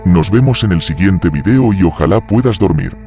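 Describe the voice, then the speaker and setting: A man's voice: low-pitched, lively intonation, fast talker. A man reading a scripted true-crime narration as a voice-over. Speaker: video channel narrator. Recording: muffled lo-fi voice-over with music.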